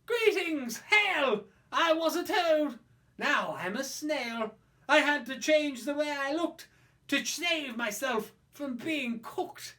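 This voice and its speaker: Woman voicing big male snail, storybook poem, bright, high, cheery. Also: animated, takes it slowly, exaggerated voice.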